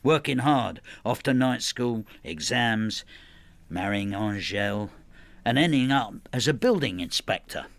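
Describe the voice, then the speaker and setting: An actor in a radio drama playing a plain-spoken working man in his sixties, telling his story in a measured, understated way. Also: mid-range voice, expressive, gravelly, a bit bright, no echo. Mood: reflective. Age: 60s. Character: retired working-class widower, plain-spoken, quietly bitter husband. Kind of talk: radio drama narration and dialogue.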